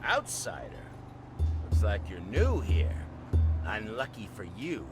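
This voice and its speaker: A man's voice: fairly low pitch, huge pitch swings, takes it slowly, full character voice. An actor playing a menacing, taunting male street thug in a video game, with music behind him. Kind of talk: scripted video game acting.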